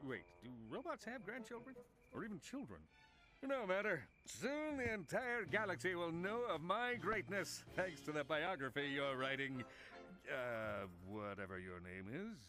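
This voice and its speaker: A man's voice: a little high, sing-song, moderate pace, sharp tone. A man voicing a vain, bumbling video game superhero, boastful and grandiose. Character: pompous, vain, bumbling self-proclaimed male superhero. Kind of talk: video game cartoon acting. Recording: game music behind the voice.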